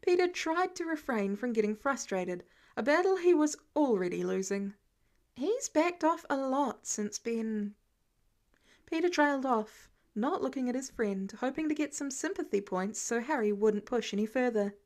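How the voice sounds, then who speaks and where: A woman's voice: a little high, expressive, moderate pace, a little mellow. A woman reading fan fiction aloud as scripted story narration.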